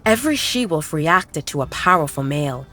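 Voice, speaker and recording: A woman's voice: deep voice, lively intonation, normal speed, a bit bright, faint music behind. A woman narrating a werewolf romance audio drama in a scripted storytelling style.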